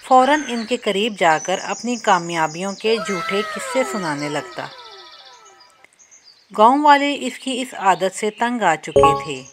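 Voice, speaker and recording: A woman narrating a cartoon story for children in a steady storytelling manner. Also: mid-range voice.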